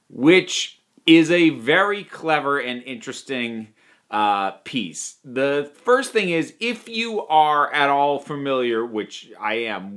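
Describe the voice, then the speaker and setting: A man giving a conversational review, stressing words emphatically with dramatic pauses. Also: mid-range voice, bright, forceful tone.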